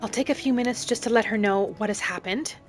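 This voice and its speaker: High-voiced woman narrating a fantasy roleplay. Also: fast talker, soft background music. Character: gentle young female wood elf traveler, earnest and principled. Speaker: gamer roleplaying a character in a game series.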